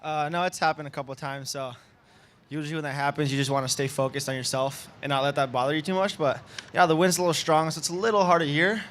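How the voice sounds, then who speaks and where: A man's voice: on the high side, fairly even intonation, quick pace, a bit bright, slight background noise. A man from Panama, a competitive surfer, chatting casually in an interview with laid-back surfer slang.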